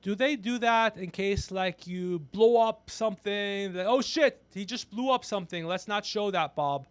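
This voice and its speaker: A man hosting a podcast livestream in casual banter, teasing, swearing and excitable in manner. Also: high-pitched, normal speed, clean tone, no echo. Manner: teasing, needling, profane. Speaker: podcast host.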